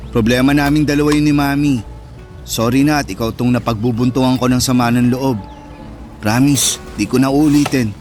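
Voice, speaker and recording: A man's voice: mid-range voice, steady tone, normal speed, natural voice. A man with a Filipino accent playing a sensitive, neglected older brother in an earnest, emotive radio drama style. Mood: apologetic, reassuring. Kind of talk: Tagalog radio drama acting. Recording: music under the voice.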